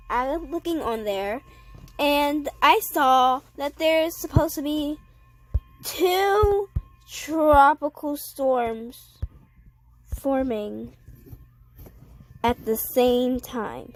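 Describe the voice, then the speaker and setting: Sniffly woman vlogger, slightly high voice, slow halting read of forecast text. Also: rough recording. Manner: halting reading with stumbles and self-corrections. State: sniffling with a cold. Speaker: weather video host. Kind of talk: casual weather update, reading forecast text aloud.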